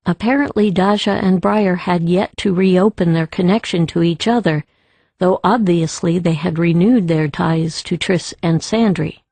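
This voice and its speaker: Low, mellow, unhurried female narrator. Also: dry recording. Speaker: audiobook narrator. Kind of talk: scripted storytelling narration.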